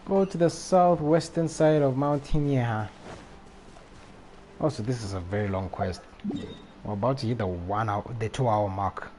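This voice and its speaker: A man livestreaming a video game with casual, halting commentary, muttering and rephrasing to himself. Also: medium pitch, darkish tone, a little hiss or hum. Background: faint game music.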